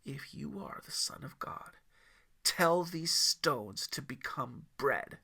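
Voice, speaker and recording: A man's voice: a little high, expressive, slow pace, creaky, pushed and bright, slightly rough, full character voice. A man voicing the devil Lucifer as a scornful, theatrical tempter in an audiobook story, mocking and drawing out his emphasis. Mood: challenging, demanding.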